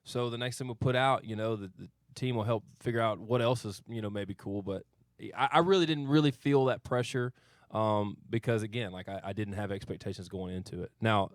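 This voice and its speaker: A man's voice: fairly low pitch, a little fast, dry recording, clean studio sound. A man in his thirties from Arkansas, a country singer answering interview questions in a modest, thoughtful way. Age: 30s. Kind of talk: casual studio interview. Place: radio studio. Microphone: studio mic.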